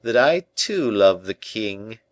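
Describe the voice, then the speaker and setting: A man's voice: lowish voice, big pitch swings, slow pace, no room sound, pitched above the performer's own voice. A man voicing a scheming, grumbling royal minister in an audiobook, formal and insistent.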